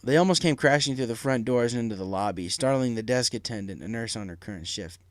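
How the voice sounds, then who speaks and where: A man's voice: mid-range voice, lively intonation, quick pace, dry recording. A man narrating a dramatic story from a script as an audiobook reader. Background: faint music.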